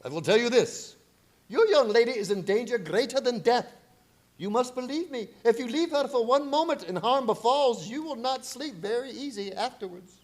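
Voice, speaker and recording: A grave old professor in a radio drama. His voice sits high and swings widely in pitch. He speaks in halting, urgent warnings, full of foreboding. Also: lively intonation. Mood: stern warning. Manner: halting, foreign phrasing, ominous.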